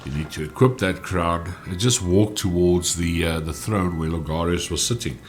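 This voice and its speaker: A man from with a stuffy cold voice, giving relaxed gameplay walkthrough commentary. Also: low voice, normal speed, slight background noise. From South Africa. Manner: relaxed, explanatory, with frequent "uh" fillers. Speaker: gaming channel host.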